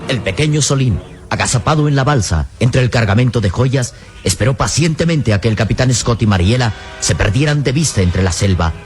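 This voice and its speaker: A man's voice: mid-range voice, animated, a little fast. Man, radio serial narrator, theatrical, suspenseful, music underneath.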